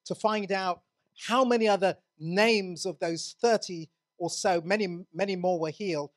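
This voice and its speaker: A man from England preaching a sermon in a church, earnest, often restarting his words. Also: high-pitched.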